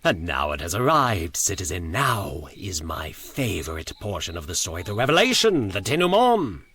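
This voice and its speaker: A man's voice: deep voice, very animated, moderate pace, fairly bright tone. A man voicing a sly, sadistic cloaked stranger in a horror story, speaking in a taunting, dreamy, commanding manner. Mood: savoring, intense. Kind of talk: horror audio story dialogue.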